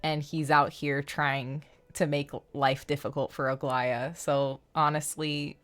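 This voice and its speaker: A woman hosting a game livestream, chatting casually in an animated, playful, rambling way. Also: sits low, slow. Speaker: game streamer and host. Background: background music.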